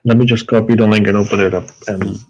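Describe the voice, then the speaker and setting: A man's voice: on the low side, fairly even intonation, quick pace, a little mellow, muffled recording, slight background noise. Hesitant man debating online. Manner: hesitant, many fillers and restarts. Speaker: debate guest.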